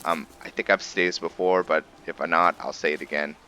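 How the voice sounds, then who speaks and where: Very deep, bright-voiced male gaming host, casual explanatory commentary over music. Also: fairly even intonation, a little fast. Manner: musing, explanatory. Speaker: host of a gaming roleplay series. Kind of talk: casual let's play commentary.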